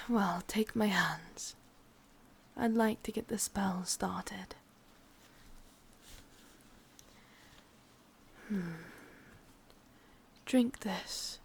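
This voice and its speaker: A woman playing a sadistic, possessive witch with an English accent in a whispered ASMR roleplay, breathy, teasing and menacingly sweet. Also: mid-range voice, exaggerated voice. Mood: amused, teasing.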